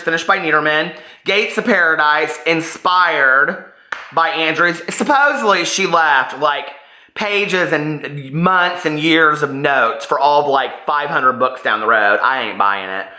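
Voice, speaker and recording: An American man in his forties hosting a casual book vlog, talking in an animated, gossipy, emphatic way. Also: fairly high pitch. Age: 40s. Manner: animated, gossipy, emphatic, often repeating himself for effect. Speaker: book vlogger and host. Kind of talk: casual chatty vlog with bits read aloud. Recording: roomy, echoing indoor sound.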